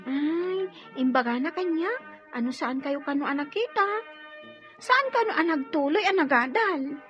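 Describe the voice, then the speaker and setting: A woman's voice: high voice, expressive, moderate pace. A woman with an Ilocano Filipino accent playing a cheerful, eager young little sister in an audio drama. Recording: dull lo-fi audio with music.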